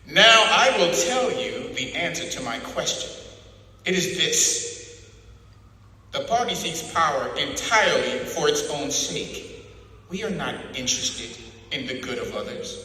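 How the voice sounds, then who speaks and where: In a cavernous, reverberant space, a cold zealot of an interrogator acted by a man declaims a dystopian creed. The voice is hard-edged and forceful, slow and schoolmasterly, every phrase emphatic. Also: fairly high pitch, lively intonation, heavily acted. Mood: stern, declaiming. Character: cold, zealous male interrogator preaching absolute power. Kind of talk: dramatic reading of a dystopian novel. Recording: very echoey hall, long reverb.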